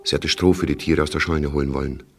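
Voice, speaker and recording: A man reading scripted literary narration in a radio play, in a measured, detached, factual way. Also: rumbling low, steady tone. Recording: clearly noisy background.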